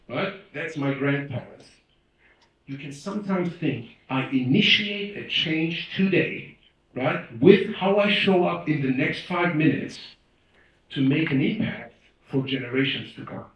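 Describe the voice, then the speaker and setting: Guy from iran doing a conference talk, kinda high voice, animated storyteller, super echoey and far from the mic. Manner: animated, emphatic, acts out quoted dialogue, frequently checks in with "right?". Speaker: conference speaker, leadership trainer. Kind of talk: motivational storytelling talk on stage. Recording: very echoey hall, distant microphone.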